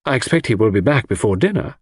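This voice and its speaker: A man playing a composed, puzzled gentlewoman in an audiobook mystery. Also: on the low side, animated, quick pace, slightly rough, dry recording. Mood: resigned.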